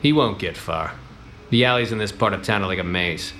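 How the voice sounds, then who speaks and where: A man doing a gruff hard-boiled detective. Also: fairly low pitch, a little fast, echoey room, a little hiss or hum, close to an everyday voice. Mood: commanding. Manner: clipped, gruff, deadpan tough-guy delivery. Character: hard-boiled, wisecracking 1940s male detective. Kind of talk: 1940s-style radio play acting.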